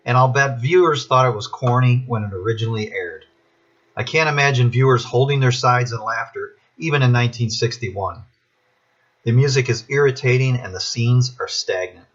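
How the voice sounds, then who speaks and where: American male podcast listener, rough-edged husky voice, scripted opinions, muffled lo-fi recording. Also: mid-range voice, a bit bright. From Buffalo, New York, US. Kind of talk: prepared, scripted commentary. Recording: dull, lo-fi recording.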